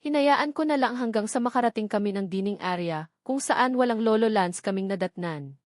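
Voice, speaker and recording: A woman's voice: a little high, animated, brisk, no room sound, studio quality. A woman with a Filipino accent reading a first-person novel aloud as a scripted narration. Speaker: audiobook narrator.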